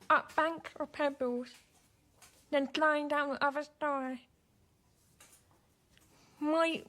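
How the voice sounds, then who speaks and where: A young woman acting in a radio drama as a frightened, insistent teenage girl, speaking haltingly. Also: high-pitched, a bit bright.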